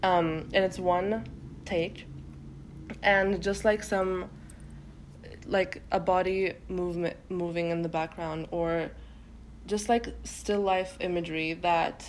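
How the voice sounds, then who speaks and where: A young woman from Turkey, a native Turkish speaker, talks through her ideas on a podcast. Her voice sits a little low, and she circles her thoughts with fillers and false starts. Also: fairly even intonation, slow pace, slight background noise. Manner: hesitant, many fillers and self-restarts. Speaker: guest poet. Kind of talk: casual podcast conversation.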